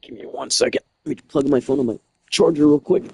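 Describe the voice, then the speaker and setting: A man's voice: mid-range voice, fast talker, vocal fry, mellow, slight background noise. A young man chatting casually and rambling in slang while streaming a game from home. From United States. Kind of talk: casual chat while playing.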